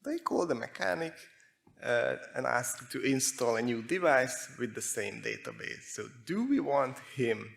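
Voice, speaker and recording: Gravelly Hungarian-accented man giving a talk. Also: mid-range voice, sing-song, a little slow, a bit bright. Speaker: conference speaker, security researcher. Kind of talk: prepared technical conference presentation.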